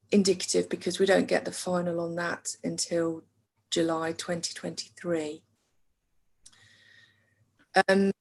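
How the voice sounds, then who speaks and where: A woman with a low, gravelly voice briefs a council meeting. She holds a flat, even tone and keeps pausing on fillers as she works through each point. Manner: measured, with frequent hesitation fillers. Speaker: council finance officer.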